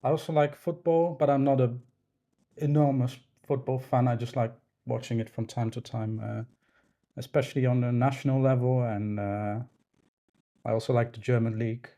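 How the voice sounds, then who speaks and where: Man, thirties, native Polish speaker, dark soft-edged tone, thoughtful and hesitant, modest, casual interview guest. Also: medium pitch, steady tone, moderate pace. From Poland. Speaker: podcast guest, snooker referee.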